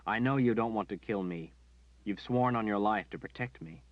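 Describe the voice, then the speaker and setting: A man voicing a calm, gentle young hero. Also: lowish voice, normal speed, no room sound, close to an everyday voice. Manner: quiet, soothing, earnest. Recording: dull dry recording.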